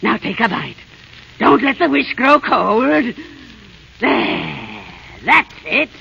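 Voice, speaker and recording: A wicked old crone, very creaky and slow, sly and wheedling. Her voice swoops widely between coaxing and cackling, as in an old fairy-tale radio play. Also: on the high side, wildly swooping pitch, heavily acted. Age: older. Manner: wheedling, sly, gloating, drawn-out. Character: wicked old witch posing as a wheedling granny peddler. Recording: scratchy, muffled 1930s radio broadcast.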